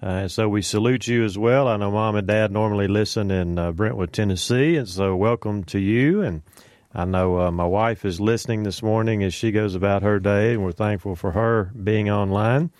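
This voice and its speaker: A man's voice: on the low side, fairly even intonation, normal speed, a little mellow, no echo, studio quality. A man hosting a morning religious radio show, chatting in a folksy way with many fillers and greeting listeners by name. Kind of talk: casual morning radio chat. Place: radio studio. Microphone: studio microphone.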